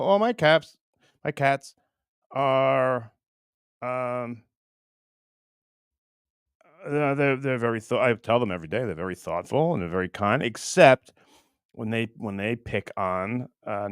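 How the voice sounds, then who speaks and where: A man hosting a casual livestream, rambling and halting as he chats with viewers in a crude, jokey, profane way. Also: mid-range voice, lively intonation, vocal fry, clear, resonant tone, no echo. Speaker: livestream host.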